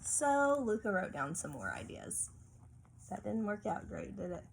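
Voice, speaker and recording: A woman reads a picture book aloud at an unhurried pace. Her voice is creaky and moves expressively, with coaxing questions to a young listener and faint noise behind her. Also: mid-range voice, very animated. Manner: asks coaxing tag questions of a child listener. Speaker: storybook reader. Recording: faint background room noise.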